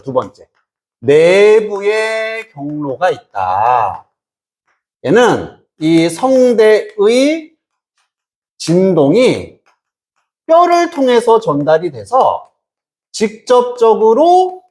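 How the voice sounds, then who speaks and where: A man's voice: high-pitched, a little mellow. A man teaching English in an explanatory lecture, emphatic and informal, often asking questions and answering them himself. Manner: asks and answers own questions, checking understanding. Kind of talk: explanatory exam-prep lecture.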